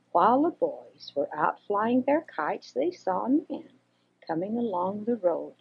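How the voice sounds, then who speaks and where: An older woman reads a children's story expressively, with a husky voice, on a homemade cassette. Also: lowish voice. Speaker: storyteller reading for children and grandchildren. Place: home recording. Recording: dull homemade 1980s cassette recording.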